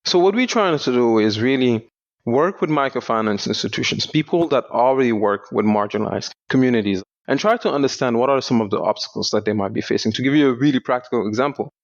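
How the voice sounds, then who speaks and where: A young man from Senegal, a startup founder, explaining his work in a measured way in a podcast interview. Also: medium pitch, dry recording. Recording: dull lo-fi dry sound.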